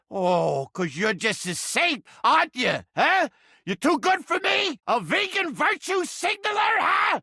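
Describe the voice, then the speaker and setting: Cartoonish talking mousetrap for a game, Brooklyn accent, high piercing voice, brash, abrasive, overdramatic. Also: male voice, gravelly, pushed and bright, no room sound, clean studio sound, full character voice. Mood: offended, combative. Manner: abrasive, wisecracking, theatrical street-tough delivery. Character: cranky, foul-mouthed talking rat trap, brash and overdramatic. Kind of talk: exaggerated comedic video game character acting.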